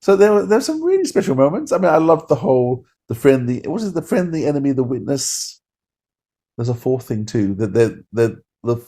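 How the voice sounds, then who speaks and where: A man's voice: medium pitch, warm tone. A man co-hosting a podcast, giving a casual review in an enthusiastic, rambling way. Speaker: podcast co-host.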